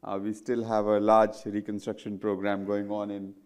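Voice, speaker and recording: Smooth, flat-voiced man chairing a session. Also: fairly low pitch, moderate pace. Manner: polite and formal.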